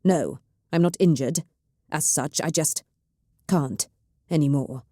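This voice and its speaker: Brief: battered woman navigator in chronic pain, acid and commanding, low dark voice, read by a woman narrator. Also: takes it slowly, dry recording, clean studio sound. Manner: gritted-teeth, acid and commanding.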